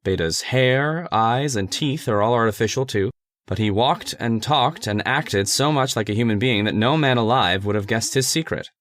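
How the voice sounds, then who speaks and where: Actor as formal, deliberate male lab assistant, radio horror. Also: mid-range voice, fairly bright tone, natural voice. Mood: measured, ominous. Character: earnest, persuasive male lab assistant to a dead scientist. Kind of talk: old-time radio horror acting.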